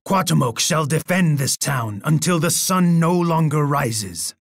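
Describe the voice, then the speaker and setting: A bloodthirsty aztec emperor in a video game, raspy voice, takes his time, very proud and boastful. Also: a little high, a bit bright, no room sound, clean studio sound, exaggerated voice. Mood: solemn resolve. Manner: imperious, boastful, speaking of himself in third person. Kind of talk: video game character voice lines.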